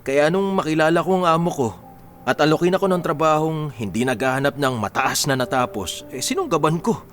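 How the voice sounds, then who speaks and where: A man's voice: fairly high pitch, expressive, brisk, soft background music, natural voice. A man acting in a Filipino radio drama as a poor, stubborn husband who justifies himself in a defensive, dismissive way. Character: stubborn, self-justifying poor husband, unrepentant explosives seller.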